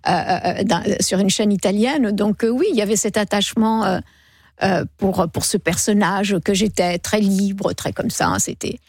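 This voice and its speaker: A woman's voice: mid-range voice, moderate pace, breathy edge, no room sound, clean studio sound. Chatty woman in her sixties. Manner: lively, chatty storytelling. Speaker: guest singer. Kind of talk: casual radio interview. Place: radio studio. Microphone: studio microphone.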